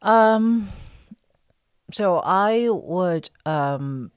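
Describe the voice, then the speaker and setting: A woman speaking as an interview guest on a podcast, halting and thoughtful, with many pauses. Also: lowish voice, lively intonation, smooth, no room sound, clean studio sound. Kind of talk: conversational interview.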